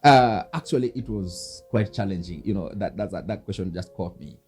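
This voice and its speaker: This is a Kenyan man with a fairly low, mellow voice. He talks earnestly and devoutly, like a minister sharing his testimony in an interview. Soft music plays faintly behind him. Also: normal speed. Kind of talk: personal testimony in a conversational interview.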